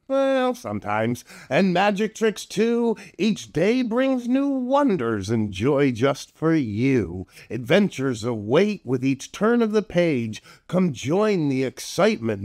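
Man, children's show host, highish pitch, big swooping intonation, theatrical, clownish, corny joke-telling. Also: a little mellow. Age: middle-aged. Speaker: children's entertainer and storytime host.

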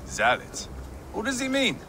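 An actor playing a brash, volatile Viking warrior in a video game, boastful and battle-hungry, with a swaggering, mocking delivery. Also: male voice, sits high, wildly swooping pitch, measured pace, bright, forceful tone, slight background noise, exaggerated voice. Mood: suspicious. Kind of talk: dramatic video game acting.

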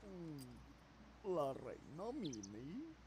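A man voicing a goofy, drowsy male cartoon dog knight in a fan-dubbed game, dopey and drawn-out. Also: on the high side, huge pitch swings, over a noisy crowd, heavily acted. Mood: sleepy, puzzled. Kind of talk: fan-dubbed video game cutscene acting. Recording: loud background noise and clicks.

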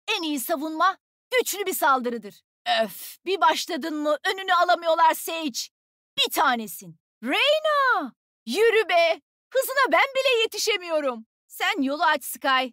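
A confident young woman fighter from a shooter game. Her voice is high and swings in lively leaps, teasing and full of energy. The sound is dry and close, with no room around it. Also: dry recording, full character voice. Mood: excited, praising. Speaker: video game voice actor. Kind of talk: game character voice lines, short combat callouts.

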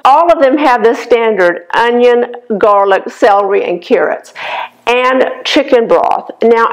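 An older woman with a slightly raspy voice hosts a casual cooking demonstration in her home kitchen, talking the viewer through each step. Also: fairly high pitch, dull audio. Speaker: cooking show host.

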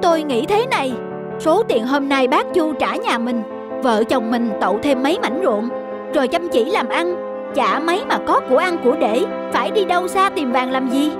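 A sensible young peasant wife in a cartoon folk tale. Her voice is high, her manner earnest and practical with a nagging edge, and music runs beneath her. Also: expressive, normal speed. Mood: worried, reasoning. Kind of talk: animated folk tale acting.

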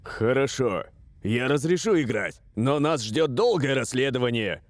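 A man voicing a stern, authoritative football coach in a cartoon dub, grave and measured. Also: medium pitch, expressive, no echo, close to an everyday voice.

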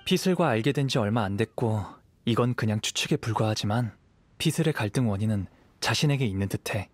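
A man playing a calm, thoughtful young wandering swordsman in a video game, soft, composed and gentle. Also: on the low side, brisk, no echo. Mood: reflective.

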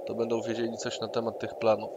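A man's voice: medium pitch, monotone, fast, lo-fi sound. A man improvising in-character dialogue as a player in an online tabletop role-playing session. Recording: online session with loud background noise.

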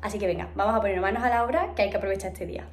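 A woman's voice: mid-range voice, racing, over music. A woman, chatting casually to camera in a vlog, her voice a little stuffy a cold. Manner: chatty and upbeat, many fillers. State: slightly congested from a cold. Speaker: vlogger. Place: home indoors, then city street outdoors. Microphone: phone or camera held at arm's length.

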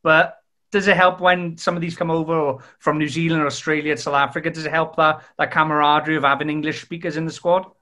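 A man's voice: a little high, flat delivery, fast talker, sharp tone. A young man hosting a podcast, asking long, chatty questions over a video call. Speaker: podcast host. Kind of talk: interview questions. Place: room at home, indoors.

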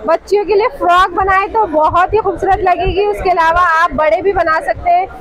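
A woman's voice: very high voice, steady tone, brisk, fairly bright tone, some chatter behind, rough recording. A woman hosting a casual shopping vlog, enthusiastically praising and repeating what the seller says. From Pakistan. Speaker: vlog host. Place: indoor fabric shop. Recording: clear background shop noise.

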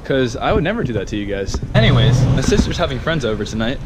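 Excitable young man vlogging to camera, lively pitch. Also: quick pace, very noisy. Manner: excited, slangy, talks to camera. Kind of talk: casual vlog commentary. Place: large indoor auto show hall. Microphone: action camera held at arm's length.

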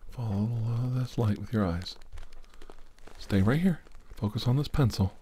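A man playing a probing, insistent doctor in an ASMR exam roleplay, soft-spoken and deliberate as he gives instructions. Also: fairly low pitch, expressive. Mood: quietly insistent. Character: probing, insistent male doctor examining a nervous patient. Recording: clear background hiss and noise.

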